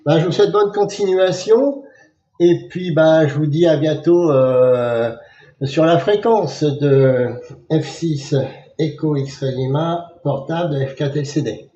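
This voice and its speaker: A man working as an amateur radio operator, speaking casually and spelling out call signs in a measured way. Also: on the high side, warm tone, echoey room, highs cut off. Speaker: amateur radio operator filming for his channel.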